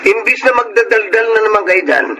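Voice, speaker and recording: Whiny defiant filipino slacker, really high for a man, radio drama, bit of noise. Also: expressive, a little fast, sharp tone, full character voice, rough recording. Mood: flippant. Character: lazy, brash, defiant Filipino ne'er-do-well man of thirty. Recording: faint background noise.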